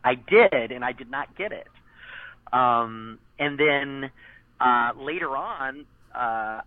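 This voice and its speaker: A man's voice: mid-range voice, expressive, takes it slowly, no echo. Man on a tinny phone line. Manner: rambling, many fillers and restarts. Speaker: interview guest, former child voice actor. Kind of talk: casual interview chat.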